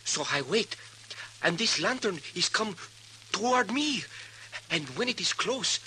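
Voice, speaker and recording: A man playing a roguish Mexican townsman in a 1950s radio western, speaking halting broken English with Spanish words and a Mexican accent. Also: high voice, expressive, pushed and bright, exaggerated voice. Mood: eager, confiding. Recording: noisy humming 1950s radio recording.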